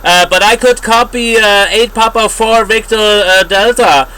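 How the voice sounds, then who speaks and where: A man giving casual signal reports as an amateur radio operator, halting and repeating himself, heard over shortwave radio. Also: high-pitched, sharp tone, clean tone, rough recording. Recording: shortwave radio with light static.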